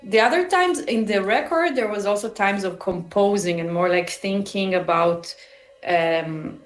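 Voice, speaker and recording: A woman speaks slowly and carefully, pausing often to find her words. Her voice sits mid-range and rings with a roomy echo. Faint music plays underneath. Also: mid-range voice. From Israel. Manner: thoughtful, searching for words with many pauses. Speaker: musician guest. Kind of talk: interview answers.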